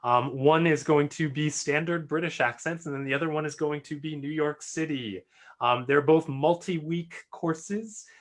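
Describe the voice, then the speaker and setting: Guy accent coach guesting on a live stream, brisk and friendly, voice a bit high. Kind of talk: casual live-stream chat.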